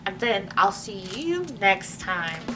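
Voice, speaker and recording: A woman's voice: mid-range voice, very animated, slow pace, over loud music. A woman librarian hosting a children's story time, reading a picture book expressively with little asides to young listeners. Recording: distant mic with faint background noise.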